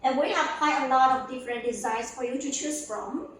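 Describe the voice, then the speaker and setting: A high-pitched woman presents products on a showroom tour in simple, halting phrases, repeating herself as she goes. She sounds away from the mic in a very echoey room. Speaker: company sales representative giving a showroom tour. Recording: echoey room, distant mic, faint noise.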